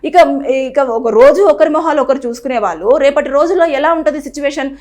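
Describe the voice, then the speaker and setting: A woman's voice: high voice, a little fast, a little mellow. A woman, presenting an earnest explainer that advises the viewer and acts out what people say. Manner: earnest, advising, repeats phrases and acts out quoted speech. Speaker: news presenter. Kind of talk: explainer to camera on a legal topic.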